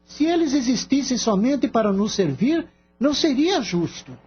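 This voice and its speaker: Brief: a performer as polite young Brazilian male assistant in radio play; earnest, pleading, very expressive, slightly muffled recording. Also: mid-range voice, normal speed, faint music behind, lightly acted. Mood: earnest, insistent. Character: earnest, gentle young animal-loving male veterinary assistant. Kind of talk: Brazilian radio drama acting.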